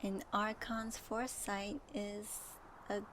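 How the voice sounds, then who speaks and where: Female actor for soft-spoken young adventurer heroine, mid-pitched, leisurely, curious, intimate audio roleplay over faint background noise. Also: steady tone. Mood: soft, earnest. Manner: gentle, conversational, pausing for an unheard partner.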